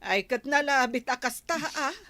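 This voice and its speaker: A woman's voice: high voice, lively intonation, moderate pace, sharp tone, exaggerated voice. A woman with a Filipino Ilocano accent playing a rueful village wife cheated of her savings, sighing through a radio comedy drama.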